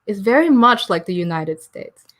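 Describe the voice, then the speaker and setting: A young woman chatting as a podcast guest, talkative and quick to laugh. She rambles a little and keeps things light and conversational. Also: medium pitch, animated, fairly bright tone. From Philippines and Taiwan. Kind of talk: casual interview chat.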